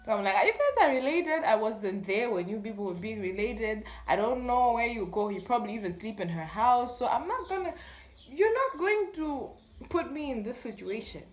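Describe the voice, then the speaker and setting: High-voiced young Malawian woman in an echoey room. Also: normal speed. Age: young adult. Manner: animated retelling, acting out dialogue. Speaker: YouTube storyteller. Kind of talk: casual storytime chat.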